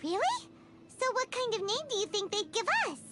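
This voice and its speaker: A woman voicing a tiny, excitable, food-loving female fairy companion in a video game, squeaky and childlike, bubbly and exclaiming. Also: moderate pace, heavy background noise. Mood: indignant, curious. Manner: speaks of self in third person, exclaiming, bubbly. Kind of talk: video game character dialogue.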